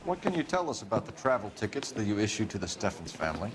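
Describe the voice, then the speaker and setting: A man's voice: lowish voice, big pitch swings, fast, background noise. An actor playing a 1940s Los Angeles police detective, American, formal and clipped as he questions witnesses in a video game. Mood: businesslike. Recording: music behind the voice.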